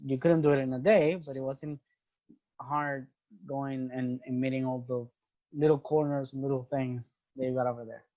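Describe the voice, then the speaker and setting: A young man from Venezuela talks through an interview in English, his Spanish accent clear. He thinks aloud, repeating and restarting his phrases as he looks for the right word. His voice sits at a middle pitch, and the recording sounds muffled and lo-fi. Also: steady tone, normal speed, warm tone. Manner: thoughtful, restarts phrases while searching for English words. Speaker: guest. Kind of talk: casual interview conversation.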